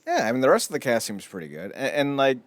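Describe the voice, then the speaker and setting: A man's voice: mid-range voice, huge pitch swings, fast, no room sound. A man hosting a podcast in casual chat about films, rambling along with blunt, wry opinions. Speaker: podcast host.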